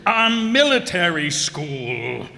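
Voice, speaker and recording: A man's voice: a little high, big pitch swings, takes it slowly, vocal fry, sharp tone, full character voice. A man playing a pompous small-town mayor who gets easily flustered and tongue-tied, in old-time radio comedy style. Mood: exasperated. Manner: formal, spluttering, tongue-twisted. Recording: echoey hall stage recording.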